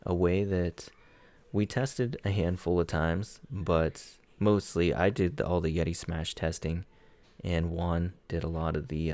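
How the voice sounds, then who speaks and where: A man giving a relaxed, conversational breakdown of game attacks as he watches them play, his voice hoarse and going out. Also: low-pitched, dry recording. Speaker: clan player reviewing war attacks. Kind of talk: casual gameplay commentary.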